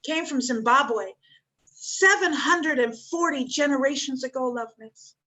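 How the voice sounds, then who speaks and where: A woman in her fifties with a bright, clear voice, sitting a touch higher than most. She talks earnestly and keeps repeating words of gratitude in an easygoing spiritual chat. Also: measured pace, rough recording. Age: 50s.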